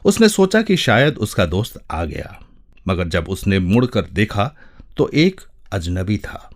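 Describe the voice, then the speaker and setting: Slow, highly expressive male storyteller. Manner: measured, expressive, pausing between phrases. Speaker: narrator. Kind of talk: dramatic literary story narration.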